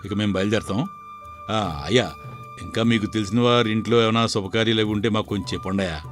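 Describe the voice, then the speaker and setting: A man voicing a proud, bossy village wedding cook in a cartoon moral story, speaking in a measured, authoritative way. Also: on the low side, fairly even intonation, faint music behind, voice pushed down, natural voice. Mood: earnest, assured.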